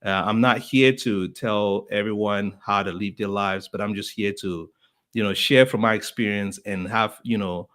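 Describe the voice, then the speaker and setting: Chatty lower-voiced podcast host. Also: male voice, steady tone, normal speed, a bit bright. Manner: chatty, friendly, lots of fillers; greets viewers by name. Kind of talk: casual live-stream welcome.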